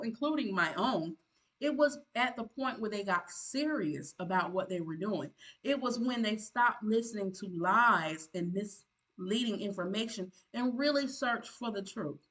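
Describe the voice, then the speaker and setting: A woman's voice: mid-range voice, fairly bright tone, poor audio. Earnest preachy woman in her fifties. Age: 50s. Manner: preachy, emphatic, repeats herself with restarts. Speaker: content creator giving channel advice. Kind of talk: earnest motivational monologue.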